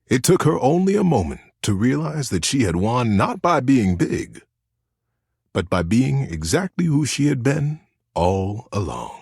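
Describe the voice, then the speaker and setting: A man voicing a gentle, earnest male teddy bear in a video game, telling bedtime stories in a soothing, expressive way with dramatic pauses. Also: medium pitch, gravelly, slightly rough, no room sound, studio quality, exaggerated voice. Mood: tender narrating.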